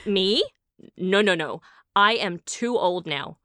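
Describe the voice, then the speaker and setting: A woman's voice: mid-range voice, lively intonation, slow pace, sharp tone, no echo, clean studio sound. A woman playing a bubbly, affectionate aunt who speaks fondly and with emphasis. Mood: fond, wry. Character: bubbly, affectionate aunt, former game show champion.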